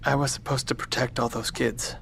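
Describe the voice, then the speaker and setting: Wounded, remorseful man, weak and halting delivery, audio-drama style, faint background noise. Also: mid-range voice, flat delivery, creaky, fairly bright tone. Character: injured, guilt-ridden man in charge of students.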